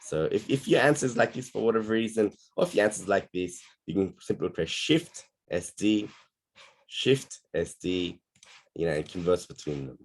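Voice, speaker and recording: A man teaching maths on an online class call, his voice going hoarse, explaining patiently step by step. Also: low voice, expressive, normal speed.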